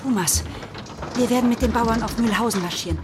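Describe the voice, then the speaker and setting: An actress playing a shrewd, resolute woman rebel in a historical audio drama, speaking deliberately and with determination. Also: a little high, loud music behind the voice, natural voice.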